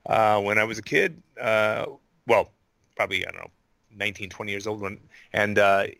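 Enthusiastic guest with a bright voice. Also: male voice, on the low side, normal speed, gravelly, no echo. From Indiana-born, raised in Honolulu. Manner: enthusiastic, says "man" and "freaking". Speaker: guest, actor. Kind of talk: casual interview chat.

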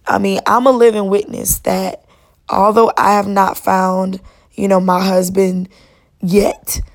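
A young woman hosting a solo podcast, her voice raspy and worn, talking in a casual, chatty, encouraging way. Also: medium pitch, little pitch movement, takes it slowly. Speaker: podcast host.

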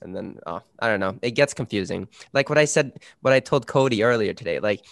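A man's voice: lowish voice, animated, brisk, vocal fry, fairly bright tone, dry recording, clean studio sound. Man rambling in casual chat. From Sweden. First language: Swedish. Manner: rambling, lots of "like" and restarts.

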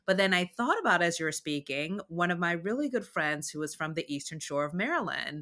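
Deep, bright-toned woman host. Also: lively intonation, fairly quick. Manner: reflective, curious, asks questions. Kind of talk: conversational podcast interview.

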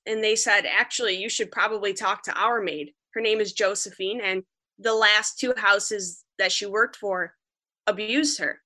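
Bright-voiced young woman telling a story. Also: mid-range voice, steady tone, normal speed. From Western New York. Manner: earnest, vivid storytelling, quoting others. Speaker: freelance journalist guest. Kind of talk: podcast interview, storytelling.

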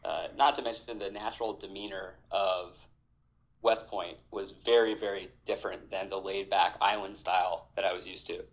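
A man in his thirties from Hawaii, an army veteran talking as a podcast guest in a measured, reflective way. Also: deep voice, level tone, lo-fi sound. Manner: reflective, measured, with fillers and restarts. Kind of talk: conversational interview.

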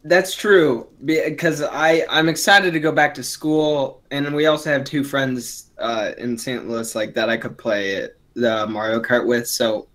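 A man's voice: medium pitch, fairly even intonation, measured pace, few pauses, fairly bright tone. A man of twenty co-hosting a casual podcast, talking in a rambling way with many restarts and fillers. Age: young adult. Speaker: podcast co-host. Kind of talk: casual chat.

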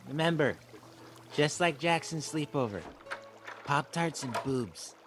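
A man's voice: on the high side, measured pace, a bit bright, soft background music. A man playing an odd, earnest little boy. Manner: earnest, blurts things out. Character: odd, blunt young boy in a waiting room. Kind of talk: comedy radio play acting.

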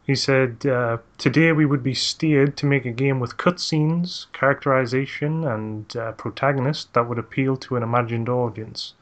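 A man's voice: mid-range voice, steady tone, moderate pace, hardly any pauses. A man hosting a casual gaming podcast, reading facts aloud with jokey asides. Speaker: podcast host.

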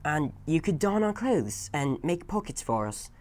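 Woman as a cocky, low-toned storybook boy. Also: sing-song, a little slow, darkish tone. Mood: coaxing. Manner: offhand, boastful. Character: cocky, carefree boy, boastful and offhand.

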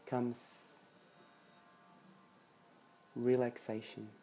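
Meditation guide, hushed and soothing, steady even pitch, unhurried, long pauses, soft background music. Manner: soothing, hushed delivery with long pauses between short phrases.

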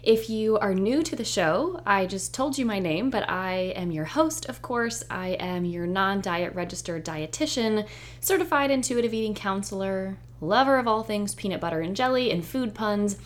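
Woman, young adult, solo podcast host; voice a bit hoarse, upbeat and encouraging delivery. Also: mid-range voice, expressive, moderate pace. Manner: chatty, upbeat and reassuring. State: hoarse, nearly losing her voice. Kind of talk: solo podcast intro monologue.

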